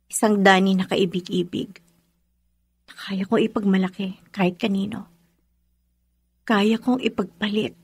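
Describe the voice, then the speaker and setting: An actress playing a heartbroken, resolute young woman in a Filipino radio drama, speaking with deliberate, dramatic emotion. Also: medium pitch, slightly rough, dry recording. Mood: quiet, wistful. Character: heartbroken resolute young woman rejecting her former lover. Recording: music behind the voice.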